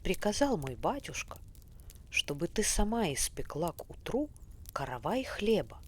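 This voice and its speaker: A woman's voice: on the low side, big pitch swings, measured pace, a little hiss or hum, voice pushed up. A woman voicing a troubled young prince in a bedtime storybook, in a plaintive, raised voice. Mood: downcast.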